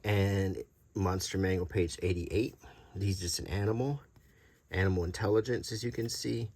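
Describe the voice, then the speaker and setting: A middle-aged man doing a casual, unscripted show-and-tell as a video host, talking in a halting, rambling, conversational way. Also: sits low, steady tone, darkish tone, dry recording. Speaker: YouTube host showing vintage tabletop game monster cards.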